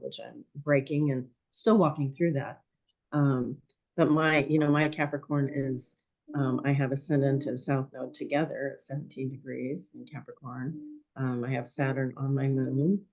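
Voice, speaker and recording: A woman in her sixties, a guest in a conversation, speaking thoughtfully and hesitantly with many pauses while her voice is going hoarse. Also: very deep voice, warm tone, dull audio. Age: 60s. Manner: thoughtful, hesitant with frequent ums. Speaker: podcast guest. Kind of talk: reflective conversation.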